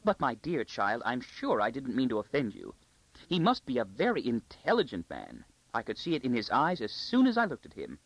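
A man voicing a plump, vague noblewoman who talks in a breathy, fluttery, gushing way. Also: medium pitch, huge pitch swings, normal speed, dry recording, poor audio. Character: vague, plump, high-voiced noblewoman, middle-aged.

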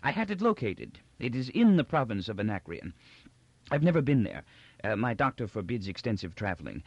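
A man voicing a gentle, confused elderly emperor from a novel, with a quavering, halting voice and a hesitant, forgetful manner. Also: on the low side, sing-song, husky, no echo, highs cut off, heavily acted. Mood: kindly, absent-minded. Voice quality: quavering, halting old man's voice.

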